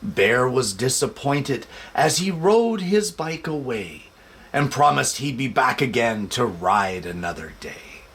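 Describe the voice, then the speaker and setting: A man hosting a show for families and reading a children's picture book aloud in an expressive, measured way. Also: medium pitch, slight background noise. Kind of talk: casual introduction, then expressive storybook read-aloud.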